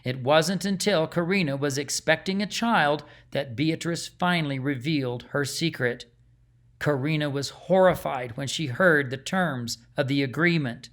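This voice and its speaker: Male narrator, fantasy audiobook, scripted storytelling, measured and dramatic delivery. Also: on the high side, fairly bright tone.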